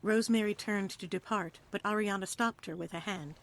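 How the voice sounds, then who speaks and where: A woman reading scripted story narration for an audio play in an expressive, theatrical way. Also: medium pitch, brisk, creaky. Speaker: narrator, reader.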